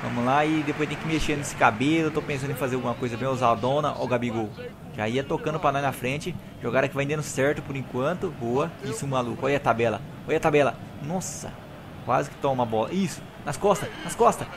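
A young Brazilian man, hoarse from recording, giving excited, slangy commentary over his own football video game match and talking straight to his viewers. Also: medium pitch, quick pace, crowd noise. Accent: Brazilian Portuguese. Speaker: gaming YouTuber. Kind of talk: live gameplay commentary.

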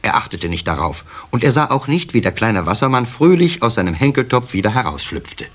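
A man's voice: medium pitch, sing-song, fairly quick, slight background noise. A man narrating a scripted children's story in a radio play.

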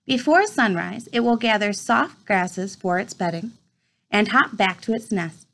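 A woman in her thirties reading a children's nature book aloud in an expressive storytime manner, recorded at home. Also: medium pitch, a little slow, creaky. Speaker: read-aloud host. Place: small room at home, indoors.

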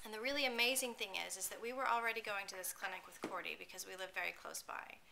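A woman's voice: medium pitch, fast talker, vocal fry. Earnest woman in noisy home video. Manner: earnest, conversational, with small restarts. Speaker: pet owner giving a testimonial. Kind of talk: casual spoken testimonial. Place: small room at home, indoors. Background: steady background noise.

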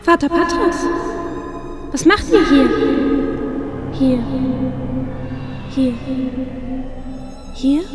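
A woman acts a young girl lost in a nightmare in a fantasy audio play. Her very high voice sounds bewildered and pleading, washed in long reverb over loud music. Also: lively intonation, very slow, pauses often, heavily acted. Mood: confused. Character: frightened, confused young girl dreaming. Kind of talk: fan audio drama acting.

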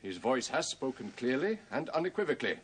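A British actor playing a pious, commanding Puritan general with an authoritative, sermonising manner in a period drama. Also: male voice, medium pitch, very animated, a little fast, a bit bright, breathy edge. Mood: stern persuasion. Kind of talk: period television drama acting.

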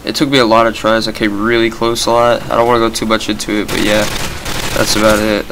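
A man's voice: lowish voice, little pitch movement, fast talker. A young man with a cold, giving rambling, casual commentary over his gameplay with lots of fillers and a stuffed, nasal voice. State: sick, nasal voice. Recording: background game gunfire noise.

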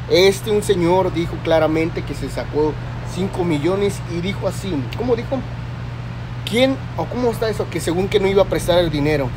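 A man with a Mexican accent chatting casually in slang to his followers, selfie-vlog style. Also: on the high side. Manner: slangy, with catchphrases and repeated appeals to followers. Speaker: social media lottery scratcher promoter. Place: small liquor store, indoors. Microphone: phone at arm's length. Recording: noisy store, phone recording.

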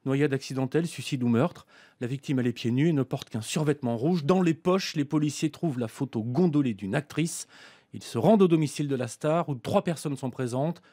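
Mellow middle-aged man reviewing books on radio. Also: mid-range voice, fairly quick. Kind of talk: scripted book review on radio, reading aloud. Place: radio studio. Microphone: studio microphone, headset monitoring.

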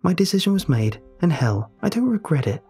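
A man's voice: medium pitch, animated, moderate pace, mellow, slightly rough, faint music behind. A man voicing a sarcastic defiant young woman.

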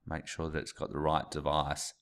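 Deep-voiced man explaining a tutorial. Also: monotone, normal speed, a bit bright. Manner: plain step-by-step explaining with asides. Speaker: teacher. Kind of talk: screen-recorded tutorial. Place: small indoor room. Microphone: USB desk mic, webcam framing.

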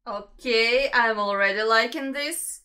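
A woman's voice: on the high side, unhurried, bright, forceful tone. A young woman with a Ukrainian accent giving enthusiastic, exclamatory reaction commentary in English as a non-native speaker, in a casual vlog style. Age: young adult. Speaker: reaction vlogger. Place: small indoor room.

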